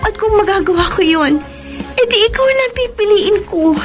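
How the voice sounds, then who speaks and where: Radio drama lead: high-voiced young woman, emotional, pining for a lost love, light music behind. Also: lively intonation, moderate pace. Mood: anguished, tearful. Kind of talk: Filipino radio melodrama acting.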